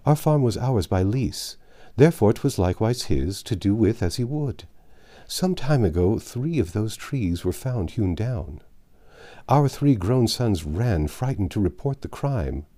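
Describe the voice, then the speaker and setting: A man's voice: on the low side, animated, measured pace, dark tone. A man reading an audiobook as a grieving, starving peasant mother, telling of hardship in impassioned, archaic speech. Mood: bitter. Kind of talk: dramatic audiobook character reading.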